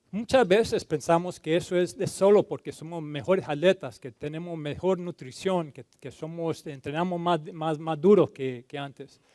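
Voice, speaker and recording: A man from Colombia giving a conference talk, halting and repeating words, slipping into terms now and then. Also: fairly high pitch, darkish tone, no room sound. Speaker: conference speaker. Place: conference hall stage.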